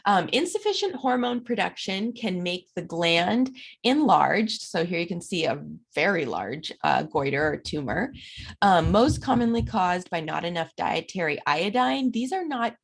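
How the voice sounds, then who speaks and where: A middle-aged woman teaches from her slides in an easy, conversational way, pausing on plenty of fillers. Her voice sits on the low side and is raspy and gravelly, dry from a recent illness. It sounds like a webcam recording made at home. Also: very animated. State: recovering from illness. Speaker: instructor. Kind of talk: recorded lecture over slides.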